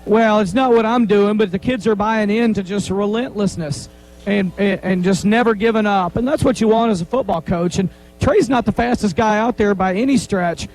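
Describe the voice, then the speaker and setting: High-voiced football coach, postgame. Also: male voice, normal speed, a little mellow, poor audio. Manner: plain-spoken, rambling, repeats himself. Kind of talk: postgame interview. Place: on the field after a game.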